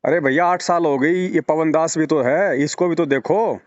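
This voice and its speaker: Argumentative higher-voiced man. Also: normal speed, no echo. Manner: argumentative, rhetorical questions, repetitive emphasis. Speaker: religious devotee speaking to a follower. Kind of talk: persuasive phone conversation. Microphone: phone call. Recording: dull lo-fi phone call recording.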